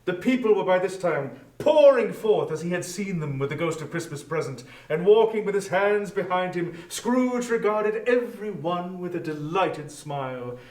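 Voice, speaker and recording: A man narrating a storybook in an expressive, measured dramatic reading style. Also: high-pitched. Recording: echoey room, distant from microphone.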